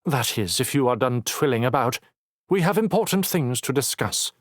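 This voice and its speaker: Haughty councilwoman done by a man, clipped, disdainful, higher pitched, dry. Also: animated, moderate pace, no echo, close to an everyday voice. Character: haughty sneering councilwoman, middle-aged.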